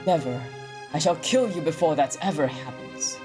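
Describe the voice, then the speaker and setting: Woman voicing a wrathful, low-voiced harvest goddess. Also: normal speed, music in the background, lightly acted. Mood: defiant. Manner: grand, defiant, formal. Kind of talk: visual novel character read aloud.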